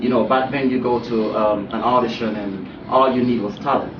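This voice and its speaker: A young man with a Jamaican accent talks in an easy, laid-back way, mid-pitched. He sounds far from the mic in an echoey room. The sound is muffled, with a clear layer of background noise. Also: moderate pace. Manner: relaxed, peppered with "you know what I'm saying". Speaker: guest actor. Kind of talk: casual interview chat. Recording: distant roomy muffled recording, noisy.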